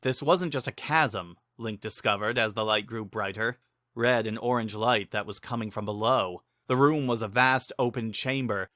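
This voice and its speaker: Bright, forceful male fantasy narrator. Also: mid-range voice, no echo, clean studio sound. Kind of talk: dramatic scripted narration of fantasy fiction.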